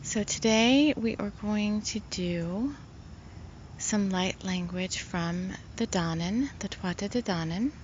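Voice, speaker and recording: Slow, soft-spoken woman healer. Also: medium pitch, lo-fi sound, a little hiss or hum. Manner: rambling, soft-spoken, many fillers. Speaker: spiritual healer. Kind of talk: casual spiritual talk.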